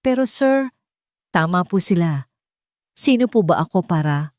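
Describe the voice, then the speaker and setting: A woman's voice: lowish voice, lively intonation, measured pace, a little mellow, no room sound, studio quality. Humble housemaid, played by a narrator woman. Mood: hurt, unsure. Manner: deferential. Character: humble, sharp-minded housemaid, a determined mother.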